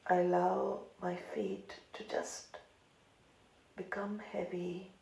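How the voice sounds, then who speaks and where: A woman meditation teacher leading a guided meditation calmly and deliberately, with long pauses between phrases. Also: medium pitch, vocal fry. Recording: roomy echo, light background noise.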